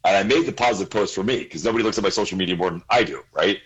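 Deep husky-voiced man. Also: very fast, lo-fi sound. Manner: earnest, repeats himself, laughs easily. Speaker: guest. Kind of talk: casual interview chat.

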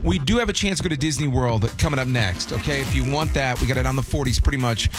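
A man hosting a radio show, playful and quick with questions, a bit stuffed up from allergies. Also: mid-range voice, over music. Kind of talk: casual radio banter.

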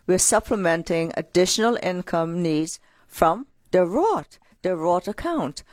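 A woman co-hosting a financial radio show, telling client stories in an energetic, talkative way. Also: on the low side, unhurried, dry recording, studio quality. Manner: energetic, storytelling with client anecdotes. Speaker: radio co-host, financial advisor. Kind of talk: casual radio finance talk.

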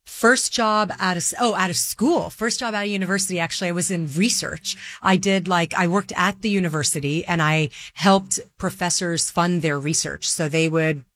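A woman's voice: on the low side, a bit bright. A middle-aged woman from Dubai in the Middle East, answering interview questions in a chatty way, with lots of "like" and "you know". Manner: chatty, quick, with many fillers like "like" and "you know". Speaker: guest, marketing executive.

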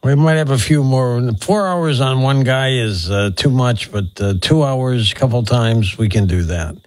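A man's voice: medium pitch, a little slow, dry recording. A man hosting a call-in radio show in an easygoing way, moving the calls along. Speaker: radio talk show host.

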